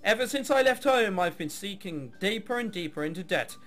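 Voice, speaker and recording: A man's voice: high-pitched, lively intonation, brisk, a bit bright, soft background music. A man voicing a jaded young woman, a reluctant orchestra heiress in a visual novel, with sarcastic, bored delivery. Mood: cynical, uninterested.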